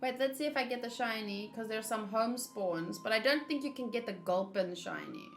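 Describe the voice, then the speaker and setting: A woman's voice: a little high, normal speed, faint music behind. A woman chatting informally on a gaming livestream, reacting aloud as she talks. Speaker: streamer. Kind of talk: casual livestream chat while gaming.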